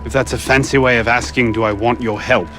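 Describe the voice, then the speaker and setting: A man's voice: mid-range voice, steady tone, a little fast, sharp tone, soft background music, close to an everyday voice. An actor playing a remorseful, earnest young man seeking redemption in a video game scene, subdued and weary. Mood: remorseful, resolute. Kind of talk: video game cutscene acting.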